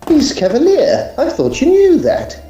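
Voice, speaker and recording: A man playing a measured Edwardian occult detective, dry and understated, in a tongue-in-cheek audio drama. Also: extremely high pitch, wildly swooping pitch, mellow, reverberant, muffled recording, soft background music, full character voice. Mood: dry, curious.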